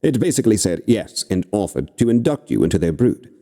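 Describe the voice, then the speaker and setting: A man's voice: fairly low pitch, very animated, brisk, dark tone, long reverb tail, voice pushed up. A man voicing a spirit that speaks inside a hero's mind, wry and explanatory, with cautioning asides.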